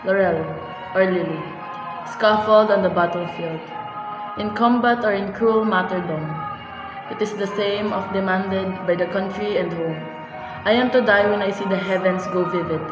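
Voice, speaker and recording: A woman's voice: medium pitch, natural voice. Woman as solemn sister reciting, echoey hall. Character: grieving sister reading her brother's farewell poem. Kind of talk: solemn poem recitation in amateur radio drama. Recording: echoey hall, music behind.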